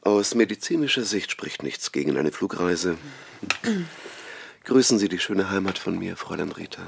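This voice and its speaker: An actor playing a gaunt, falsely courteous doctor in a radio play, speaking formally and in clipped commands. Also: male voice, low voice, expressive, moderate pace, gravelly, slight background noise. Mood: formal, clinical. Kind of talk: radio drama acting.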